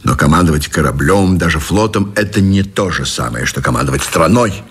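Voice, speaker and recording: An actor in a Russian radio drama playing a cynical, domineering middle-aged general with a sardonic, declamatory manner. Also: male voice, very deep voice, lively intonation, fairly quick, fairly bright tone, breathy edge, heavily acted. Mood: scornful.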